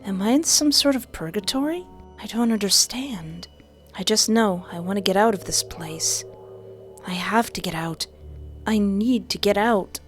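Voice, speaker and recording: A woman's voice: mid-range voice, a little slow, soft background music. A young woman reading a visual novel aloud and breaking in with playful, joking asides. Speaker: game streamer.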